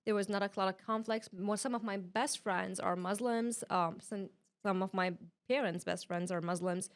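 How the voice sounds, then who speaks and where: Iraqi-born woman, medium-pitched, explaining casually. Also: fairly even intonation, normal speed. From Iraq. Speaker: podcast guest. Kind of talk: casual interview answers.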